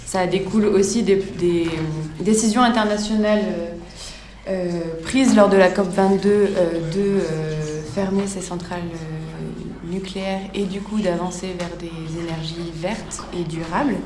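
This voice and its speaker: Woman acting bureaucratic prefect, defensive, hesitant, slightly low pitch, roomy echo, radio fiction. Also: steady tone, a little slow, a little mellow, natural voice. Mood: official, hesitant. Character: official female prefect, halting, defensive, bureaucratic. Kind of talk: improvised mock press conference for radio fiction. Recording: roomy echo with faint noise.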